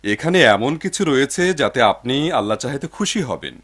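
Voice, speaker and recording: A man playing a kindly, trusting cartoon father and householder, husky and courteous. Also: mid-range voice, big pitch swings, fairly quick, a bit bright. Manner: courteous, hospitable. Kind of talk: animated cartoon dub acting.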